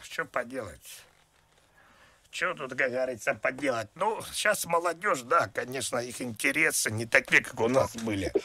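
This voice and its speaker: A man voicing a raspy, folksy old grandpa who rambles and repeats himself in a comic prank call. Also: medium pitch, moderate pace, bright, forceful tone, a little hiss or hum. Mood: nostalgic, grumbling. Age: older (played). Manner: rambling, repetitive, folksy filler-heavy talk. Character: rambling rustic grandpa, boastful, sentimental, absurdly chatty. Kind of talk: prank phone call improvisation.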